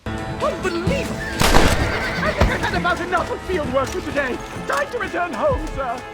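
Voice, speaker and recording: High-pitched, jittery pompous professor. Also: male voice, very animated, moderate pace, loud music behind the voice. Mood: alarmed, nervous. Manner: stammering, florid, fussy. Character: jittery pompous male Yale professor, flustered and theatrical. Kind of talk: video game western drama acting.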